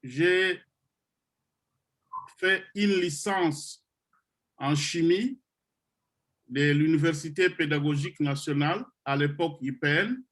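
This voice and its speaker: Guy, middle-aged, kinda high voice, talks real slow with pauses, running an online class. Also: fairly bright tone. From Democratic Republic of the Congo. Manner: slow, deliberate, with pauses and hesitations. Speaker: project founder leading an online computer course. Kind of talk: motivational talk to trainees on a video call.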